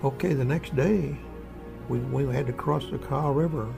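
An elderly American war veteran recounting his memories in a folksy, halting, repetitive way. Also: mid-range voice, creaky, over music. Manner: folksy, halting, repeats himself. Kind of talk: spoken first-person war reminiscence.

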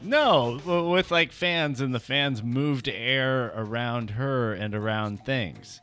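Halting male podcast co-host over music. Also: mid-range voice, a bit bright, smooth. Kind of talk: casual comedic banter.

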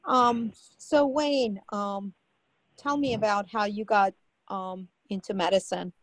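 A woman's voice: medium pitch, fairly even intonation, slow pace, no echo, poor audio. A woman hosting an interview, prompting her guest with questions and fillers. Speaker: interview host. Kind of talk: interview conversation.

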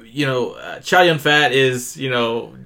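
A man's voice: medium pitch, expressive, a little slow, pushed and bright. A man co-hosting a podcast in casual chat, talking hesitantly with frequent "uh" and "you know". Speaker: podcast co-host.

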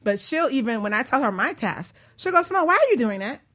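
A woman hosting a casual radio chat show, talking in an animated, chatty way and acting out quotes as a business coach. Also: fairly high pitch, fast.

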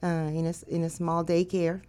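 A Cuban-born woman answers interview questions in a measured, unhurried way. Her voice sits somewhat low for a woman, and she pauses now and then to find her words. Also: little pitch movement, mellow, clear, resonant tone. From Cuba. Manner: measured, with some hesitations. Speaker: school owner and director, guest.

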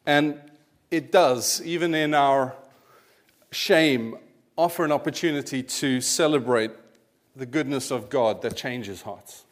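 A man's voice: medium pitch, slow. A South African man in his forties, a pastor giving a conversational sermon with personal stories and self-deprecating asides. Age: 40s.

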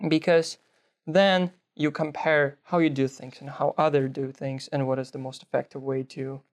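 A man's voice: medium pitch, flat delivery, moderate pace. Young man from belarus, russian first language, earnest, kinda hunts for words. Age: young adult. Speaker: guest, nursing student. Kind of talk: interview answers.

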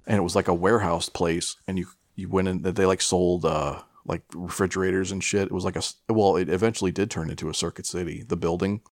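A middle-aged American man with a low voice, recorded dry and close. He chats casually as a podcast co-host, wandering through his stories with stops, restarts and plenty of swearing. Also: steady tone, no echo. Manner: profane, rambling, halting. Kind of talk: casual chat, rambling storytelling.

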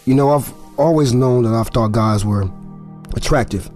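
A man's voice: medium pitch, normal speed, darkish tone, soft background music, close to an everyday voice. An actor playing a guarded young football player in his twenties, confiding in a conversational, slightly hesitant audio drama narration. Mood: reflective, confiding.

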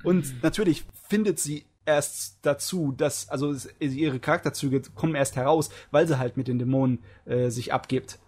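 A man's voice: medium pitch, moderate pace, few pauses, a little mellow, breathy edge. A man talking in a casual, colloquial and jokey way in a group discussion, as a podcast host.

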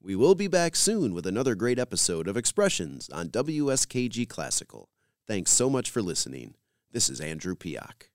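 A man's voice: fairly low pitch, very animated, normal speed, dry recording, clean studio sound. A man hosting a radio program, reading scripted introductions and credits as a presenter.

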